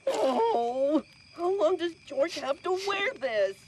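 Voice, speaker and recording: An actor voicing a dim-witted, excitable, good-natured jungle wild man in a cartoon, with a childlike, dramatic way of talking. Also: sits high, slow pace, background noise, heavily acted. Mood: puzzled, grudging. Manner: childlike, third-person speech, dramatic. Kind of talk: animated cartoon voice acting. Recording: loud music behind the voice.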